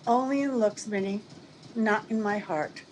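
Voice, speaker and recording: A woman playing a wistful, gentle, secretly sad young-looking woman in an old-time radio drama. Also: mid-range voice, measured pace, close to an everyday voice. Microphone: video call. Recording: faintly noisy video-call recording.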